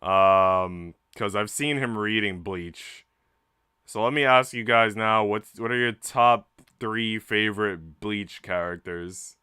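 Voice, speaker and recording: A man's voice: lowish voice, slow pace, sharp tone, smooth, no room sound, studio quality. A man streaming, reading a visual novel aloud and chatting casually with his audience. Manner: informal, slangy when chatting.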